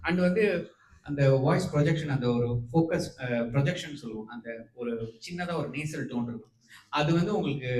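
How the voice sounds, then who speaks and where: Male audition judge, higher-pitched, encouraging and hesitant, code-switching bilingual speech, echoey noisy room. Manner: encouraging, halting with fillers, mixes Tamil and English. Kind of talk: encouraging spoken feedback to a contestant. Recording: echoey room with background noise.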